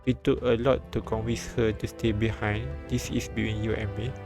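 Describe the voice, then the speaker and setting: A man reading a visual novel aloud on a live stream, with halting restarts and bits of commentary. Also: lowish voice, flat delivery, gravelly. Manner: halting, repeats and restarts lines. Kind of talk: live read-aloud translation and narration with commentary. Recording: background music behind the voice.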